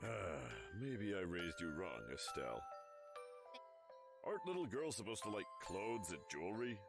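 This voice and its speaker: A man's voice: lowish voice, sing-song, normal speed. A man voicing an easygoing, wry middle-aged father in a video game. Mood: teasing. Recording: clear music under the voice.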